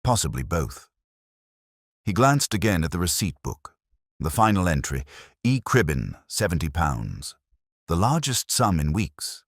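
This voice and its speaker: A man narrates a mystery in a steady storytelling manner. His voice is extremely low and husky, with lively pitch movement. The sound is close and completely dry. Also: measured pace, dry recording.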